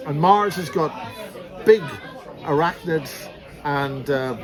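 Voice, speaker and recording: A man with a Belfast accent holds forth in a rambling, emphatic way, his voice sitting a little high. The talk is casual and jokey at times. Loud crowd chatter fills the background of this outdoor recording. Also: takes it slowly. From Northern Ireland. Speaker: speaker on secret space programs. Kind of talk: casual street conversation. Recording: loud crowd noise behind.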